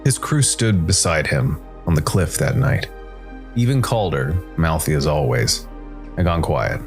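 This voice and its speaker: A man's voice: extremely low pitch, expressive, unhurried. A man reading scripted science fiction noir narration as a storyteller. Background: faint music.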